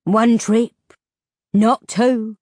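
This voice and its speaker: Woman voicing a croaking scaly ferryman. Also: steady tone, a little mellow, dry recording, studio quality. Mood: menacing. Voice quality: throaty rumbling croak. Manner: slow, drawn-out and threatening. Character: menacing scaly male ferryman, croaking, greedy. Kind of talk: audiobook character voice.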